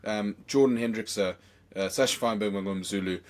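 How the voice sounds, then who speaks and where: A young man from South Africa hosting a casual podcast, hoarse from a chest infection, rambling and excitable with many fillers. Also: on the low side, normal speed. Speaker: podcast host.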